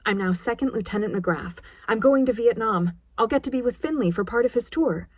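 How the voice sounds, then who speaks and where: A woman's voice: a little high, animated, fairly quick, dry recording, pitched above the performer's own voice. A woman voicing an earnest, insistent young army nurse, eager and defiant, in an audiobook. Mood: bursting with pride.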